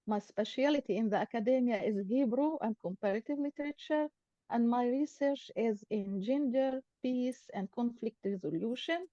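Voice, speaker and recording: Woman guest speaker from Israel, first language Arabic, higher voice, mellow tone, steady prepared delivery, muffled call audio. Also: no room sound. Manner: measured, reading from notes, with small grammar slips. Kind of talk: prepared talk over video call.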